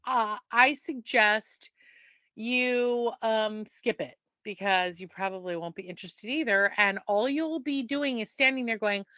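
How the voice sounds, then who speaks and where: A woman's voice: fairly high pitch, a little slow, pushed and bright, no room sound. A middle-aged woman co-hosting a podcast, chatting casually with a self-deprecating, giggly manner. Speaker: podcast co-host. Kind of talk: casual chat.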